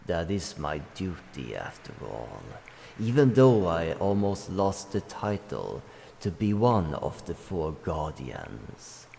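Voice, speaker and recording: A man voicing an ancient dragon guardian, solemn, calm and kindly, speaking slowly in formal, measured phrases in a roleplay addressed to the listener. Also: exaggerated voice. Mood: solemn, resolute. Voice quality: deep, gravelly. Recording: long cavernous reverb, faint background noise.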